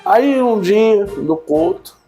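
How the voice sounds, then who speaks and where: A man's voice: high-pitched, slow pace. Man telling his story with faint music. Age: 30s. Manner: animated storytelling, frequent "né?" tags, swings between excited and subdued. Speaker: church member giving testimony. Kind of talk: casual personal testimony.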